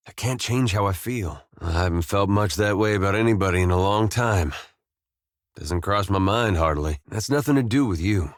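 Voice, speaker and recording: An actor with an American accent playing a gentle, weary farmer turned soldier in a video game, speaking with a folksy, plainspoken drawl. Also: male voice, on the low side, dry recording, studio quality, lightly acted. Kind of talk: video game character dialogue.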